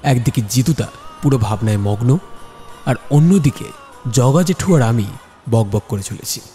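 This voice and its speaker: A man's voice: mid-range voice, animated, moderate pace, warm tone. A man giving dramatic scripted narration of a detective story. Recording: long reverb, music underneath.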